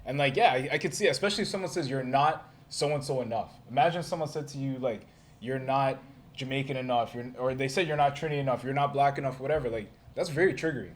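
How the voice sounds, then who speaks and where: A man's voice: medium pitch, fast. A man, a podcast guest, agreeing and chiming in during casual chat. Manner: agreeable, chimes in often. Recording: faint background noise.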